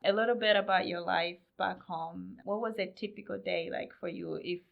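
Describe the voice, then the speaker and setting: Creaky-voiced woman co-hosting an interview. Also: on the low side, fairly even intonation, normal speed. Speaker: podcast co-host, interviewer. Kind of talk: casual interview chat. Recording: slightly dull, lo-fi sound.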